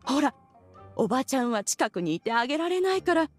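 A proud immortal lady from a video game, playing the fussing auntie. Her voice sits high with a husky edge, theatrically mannered, over faint music. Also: very animated, fairly quick. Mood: doting, chatty. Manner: switches between doting feminine chatter and archaic haughty speech. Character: proud immortal woman posing as a doting auntie. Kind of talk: Japanese video game voice acting.

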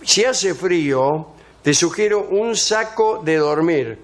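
A man's voice: high voice, expressive, takes it slowly. A man hosting a comedy radio show, reading out a travel guide with dry sarcastic asides.

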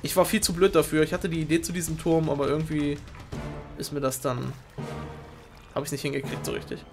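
Excitable young man commentating over music. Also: a little high, fairly quick. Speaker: game show host. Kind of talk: live commentary of a Minecraft race. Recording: music behind the voice.

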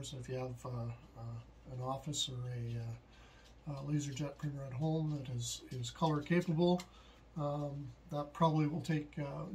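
Middle aged guy doing a casual how-to, kinda high voice, hesitant, thinking out loud, little bit of background noise. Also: creaky. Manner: halting, hesitant, thinking aloud. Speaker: hobbyist host of a DIY tutorial. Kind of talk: casual unscripted how-to explanation. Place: small indoor home workshop at a desk, later at a computer.

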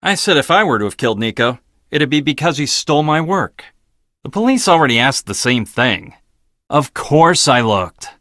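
An actor playing an arrogant, blunt, logical research scientist in a video game, with a clipped, dismissive, pedantic delivery. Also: male voice, on the high side, very animated, normal speed, sharp tone, dry recording, studio quality. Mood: dry, matter-of-fact.

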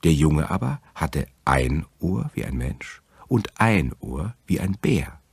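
A man with a very deep, gravelly voice narrating a fairy tale as a storyteller. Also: big pitch swings, slow, no room sound.

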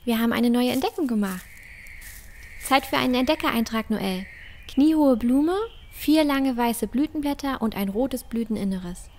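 Eine Frau spielt in einem Fantasy-Hörspiel eine mutige, neugierige junge Entdeckerin, eifrig und abenteuerlustig. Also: female voice, a little high, lively intonation, moderate pace, darkish tone, a little hiss or hum. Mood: amazed. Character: bold, curious young girl explorer in a fantasy forest. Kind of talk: fantasy audio drama acting.

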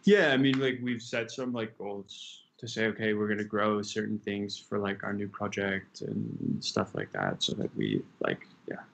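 Young man, low gravelly voice, rambling with fillers, casual interview, laptop webcam at home. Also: lively intonation, moderate pace, hardly any pauses, low-quality recording. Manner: rambling, many fillers and restarts. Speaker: chef and restaurant co-owner. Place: home room with window. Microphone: laptop webcam on video call.

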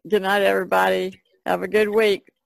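A woman's voice: mid-range voice, level tone, a little fast, vocal fry, dry recording, rough recording. A woman chatting casually as a guest on a podcast. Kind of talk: casual chat.

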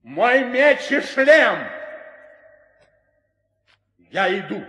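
A cruel demon king for an old radio play. The voice is high and forceful, declaiming slowly with imperious, gloating menace, in long reverb. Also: big pitch swings, full character voice. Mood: grim. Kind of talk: theatrical 1960s audio drama acting. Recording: reverberant studio drama recording with music.

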